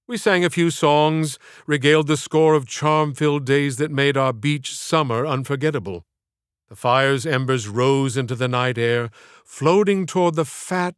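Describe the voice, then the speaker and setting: A man reading literary scripted narration as an audiobook author, in a measured, theatrical way. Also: mid-range voice, smooth, no room sound, clean studio sound.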